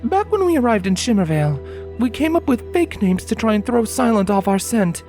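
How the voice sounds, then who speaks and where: Lady playing a wary warrior mare, dark smooth tone, bossy and formal, storybook drama with faint music. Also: fairly high pitch, big pitch swings. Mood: serious. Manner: formal, commanding, measured. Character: stern, wary female pony, ex-soldier fugitive. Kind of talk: dramatic audiobook acting.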